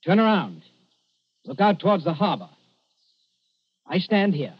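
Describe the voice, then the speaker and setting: An actor playing a wily, commanding English adventurer in 1940s radio style, speaking persuasively and deliberately in simple phrases. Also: male voice, high voice, very animated, slightly rough, full character voice. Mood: smooth, triumphant. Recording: muffled, noisy 1940s radio recording.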